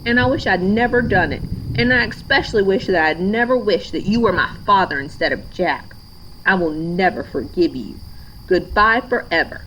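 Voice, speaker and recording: A woman's voice: medium pitch, expressive, moderate pace, fairly bright tone, a little hiss or hum, close to an everyday voice, rough recording. A woman voicing a hurt, indignant, lonely young girl in a storybook, speaking earnestly and emphatically. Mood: angry, hurt.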